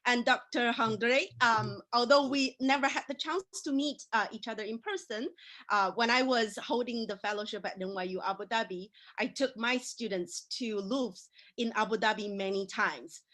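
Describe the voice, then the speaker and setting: A woman's voice: on the high side, moderate pace, fairly bright tone. A woman whose first language is Mandarin, moderating an academic panel with conversational, gracious remarks and frequent hesitations. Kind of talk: unscripted opening remarks.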